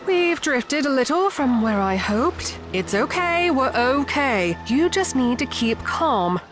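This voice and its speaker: A high-voiced, resolute young fisherwoman played by an actress speaks with animated intonation in an audio drama. Her delivery is practical and emphatic, over a bed of music. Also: slow pace, full character voice. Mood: steadying. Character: Rabia, resolute young fisherwoman of ancient Egypt.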